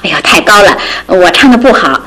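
A woman's voice: medium pitch, expressive, brisk, bright, forceful tone, poor audio. An actress playing a genteel visiting lady in a radio drama, courteous, demure and self-effacing. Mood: genteel, modest. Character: polite, modest, sociable lady visitor, genteel opera enthusiast.

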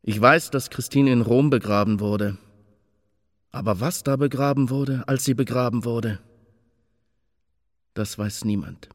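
An actor in a radio drama playing a sober courtier who recalls his queen in formal, measured storytelling. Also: mid-range voice, no echo, clean studio sound, lightly acted. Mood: grave.

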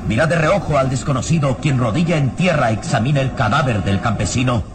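An actor narrating an adventure radio serial in a solemn, suspenseful, dramatic style. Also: male voice, medium pitch, natural voice. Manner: suspenseful, solemn, measured phrasing. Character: omniscient suspenseful adventure storyteller.

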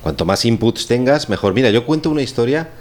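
A man's voice: medium pitch, animated, quick pace. A man from Spain with a Spanish accent, chatting casually as a scriptwriter and teacher in a wry, rambling way. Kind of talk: casual chat on a radio show. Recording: clearly noisy room recording.

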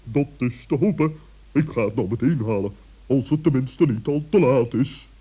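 A man playing a stern, bossy old owl in a children's radio play, pompous and reproving with drawn-out emphasis. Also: mid-range voice, dark tone, slightly rough, close to an everyday voice. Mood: indignant. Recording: dull vintage radio recording.

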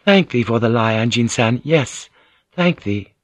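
A man voicing a gentle, composed Japanese noblewoman in an audiobook, speaking in courteous, archaic phrasing. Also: mid-range voice, animated, a little slow, no echo, close to an everyday voice. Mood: coy, courteous.

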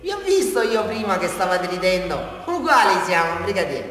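A man's voice: high voice, big pitch swings, moderate pace, a bit bright. A man acting an unhinged small-town murderer with a southern Italian accent, confessing to a police brigadier in a rambling, insistent, taunting way in a theatrical radio drama. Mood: insistent, goading. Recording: echoey hall from far off, faint music.

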